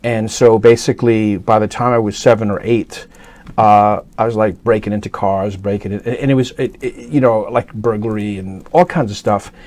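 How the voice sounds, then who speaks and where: A man's voice: lowish voice, steady tone, normal speed, darkish tone. A middle-aged man from New York City talking casually as an interview guest, rambling with many fillers and restarts. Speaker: guest actor.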